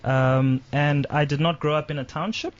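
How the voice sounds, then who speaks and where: A man on a radio talk show, briskly reading out quiz questions. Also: a little high, fairly even intonation. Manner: brisk, reads questions aloud quickly. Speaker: guest leading a privilege quiz. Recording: dull lo-fi radio recording.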